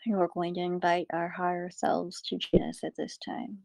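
A woman leading a guided meditation, giving calm instructions in short, measured phrases with breathy endings. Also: fairly low pitch, dry recording.

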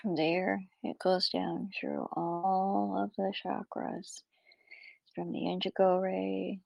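Female meditation guide: unhurried, measured short phrases, gravelly fry with whispery endings, calm instruction. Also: mid-range voice, dry recording, dull audio.

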